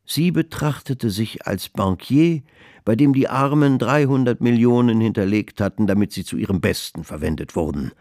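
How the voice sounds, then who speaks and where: A man narrating a historical radio drama in measured literary prose. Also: medium pitch, lively intonation, a little mellow, dry recording, studio quality, natural voice. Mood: measured narration. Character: measured male narrator of a 19th-century finance saga.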